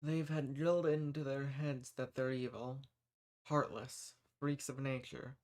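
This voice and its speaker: A man's voice: medium pitch, little pitch movement, measured pace, a little mellow, close to an everyday voice. A man playing a kind, folksy human rebel who earnestly reassures and shelters monsters in a scripted audio roleplay. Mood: gentle, comforting. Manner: folksy, reassuring, earnest, uses y'all and ain't. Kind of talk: scripted audio roleplay, speaking softly to the listener.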